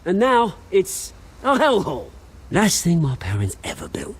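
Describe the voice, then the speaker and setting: A man's voice: high-pitched, huge pitch swings, moderate pace, slightly rough, exaggerated voice. An actor with a British accent playing a cocky, bitter, theatrical fallen rich man in a video game. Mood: bitter, wistful. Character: bitter, scrappy fallen rich man, childhood friend turned volatile.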